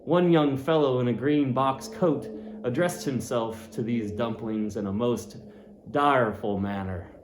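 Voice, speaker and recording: A man reads a classic novel aloud with drama, rising and dropping his voice for effect. His voice sits mid-range. The room gives a noticeable echo with a faint hum of ambience. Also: a little slow. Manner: expressive, shifting pitch and loudness for effect. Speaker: audiobook reader.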